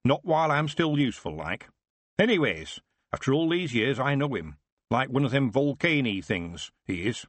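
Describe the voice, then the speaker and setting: A man's voice: medium pitch, big pitch swings, moderate pace, no echo. A man doing an old rustic stable hand. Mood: wry. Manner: rustic dialect speech.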